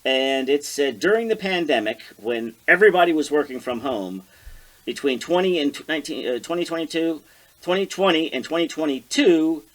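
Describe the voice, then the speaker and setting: An American man co-hosting a podcast, chatting casually about politics and citing figures in a halting way as he searches through notes aloud. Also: fairly high pitch, lively intonation. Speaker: podcast co-host.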